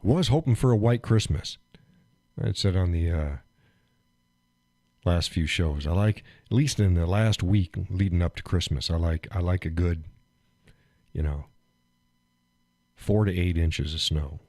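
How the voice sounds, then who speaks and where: A man hosting a live stream, chatting casually and rambling with fillers and chuckles, phlegmy and clearing his throat. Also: deep voice, measured pace, long pauses, dark tone, dry recording, studio quality. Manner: rambling, chuckling, thinks aloud with many fillers. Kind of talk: casual chat reading viewer comments.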